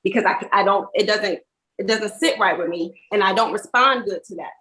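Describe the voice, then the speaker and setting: A woman's voice: mid-range voice, moderate pace, a bit bright, slight background noise. A woman debating casually, making her points in a firm, insistent way. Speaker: podcast panelist. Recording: roomy, echoing sound.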